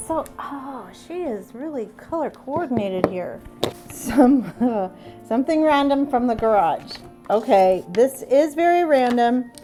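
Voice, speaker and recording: A woman's voice: high-pitched, dark tone. A middle-aged woman with a stuffy cold, chatting upbeat through a do-it-yourself craft tutorial. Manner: chatty and upbeat, exclaims over gifts. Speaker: craft vlogger. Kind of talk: casual DIY tutorial narration.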